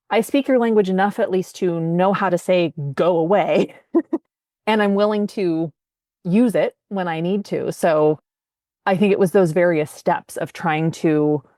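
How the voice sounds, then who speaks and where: Lower-pitched woman telling a personal story. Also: a little mellow, no room sound, studio quality. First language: English. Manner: emphatic, acts out her own remembered words. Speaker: podcast guest.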